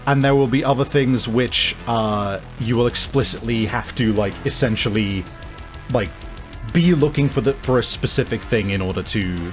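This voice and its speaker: Man game-mastering over clear background music. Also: medium pitch. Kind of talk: improvised narration of a tabletop game.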